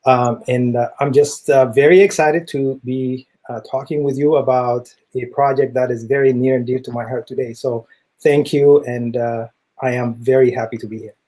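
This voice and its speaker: A middle-aged man with an East African accent speaks in a mellow, relaxed voice of medium pitch. He explains his points as an interview guest, earnest and measured, often feeling for the next phrase. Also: poor audio. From East Africa, Ethiopia and Kenya. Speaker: interview guest, tech entrepreneur.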